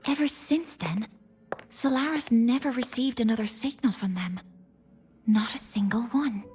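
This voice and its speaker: Lady doing serious earnest game character, highish voice, faint music underneath. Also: measured pace, warm tone, natural voice. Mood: grave, hushed. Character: grave, earnest woman in a sci-fi story. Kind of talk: video game voice acting.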